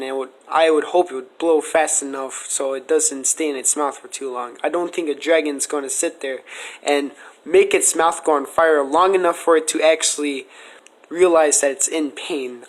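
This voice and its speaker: Higher-voiced man hosting a casual podcast. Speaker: podcast host. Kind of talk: casual question-and-answer discussion.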